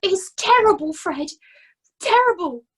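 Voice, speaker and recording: A woman's voice: very high-pitched, animated, unhurried, fairly bright tone, pitched above the performer's own voice, full character voice. A woman voicing a scrawny, put-upon male corporal who whines and pities himself. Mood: woeful. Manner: whiny and self-pitying.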